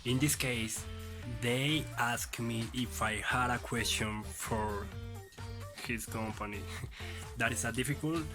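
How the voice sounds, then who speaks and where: A young man presenting interview advice in halting English, with frequent restarts. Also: mid-range voice. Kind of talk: casual advice video.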